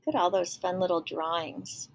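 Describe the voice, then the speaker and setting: A woman's voice: fairly low pitch, little pitch movement, normal speed, creaky, low-quality recording. A woman reading a children's picture book aloud expressively, with explanatory asides to young listeners. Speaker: storybook reader.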